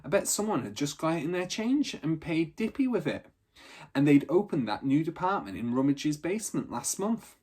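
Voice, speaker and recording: A man's voice: a little high, big pitch swings, normal speed, darkish tone, husky, pitched above the performer's own voice. A man voicing an outspoken, practical young girl with a sarcastic streak in a children's storybook. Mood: excited.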